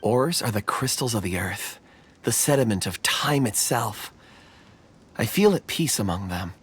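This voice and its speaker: A man playing a gentle, earnest young man in a video game, polite and soft-spoken. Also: fairly low pitch, expressive, measured pace, slightly rough, exaggerated voice. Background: game music.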